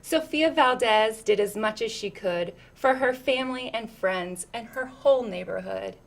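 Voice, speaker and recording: A woman's voice: a little high, normal speed, creaky. A woman reading a children's picture book aloud expressively, with chatty asides and questions to her listeners.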